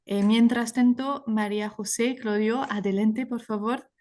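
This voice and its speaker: A woman moderates a panel in a rather high voice. She is friendly and a little hesitant, with frequent fillers. She hands the floor from one speaker to the next. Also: fairly even intonation, unhurried, a little mellow.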